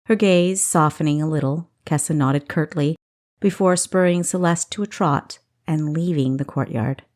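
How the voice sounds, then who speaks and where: A woman's voice: low voice, moderate pace, a little mellow. A woman narrating a novel as an audiobook, reading expressively from a script.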